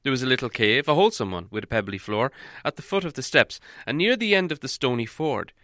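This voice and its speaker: A man narrating an audiobook in a measured storytelling way, softening on descriptive passages. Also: medium pitch, lively intonation, no room sound, clean studio sound. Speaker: audiobook reader.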